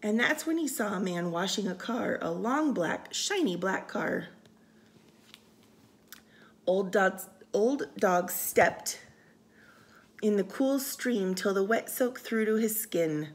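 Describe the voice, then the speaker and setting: A woman reading a picture book aloud for storytime, expressive and sing-song, with casual asides. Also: mid-range voice, slow, pauses often. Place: indoors, room by a window.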